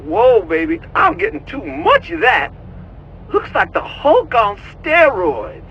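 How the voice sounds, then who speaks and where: A man's voice: very high-pitched, slow, vocal fry, husky, lo-fi sound, exaggerated voice. An actor playing a cocky, slangy young American tech support guy in a video game cutscene. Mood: impressed, wary. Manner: slangy, animated, excitable.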